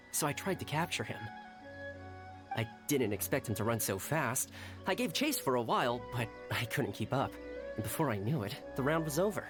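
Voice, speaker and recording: Man acting an easygoing, animated young retainer. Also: mid-range voice, close to an everyday voice. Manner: friendly, relaxed, teasing. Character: easygoing, friendly young male retainer, upbeat and polite. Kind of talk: video game cutscene acting. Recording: game music behind the voice.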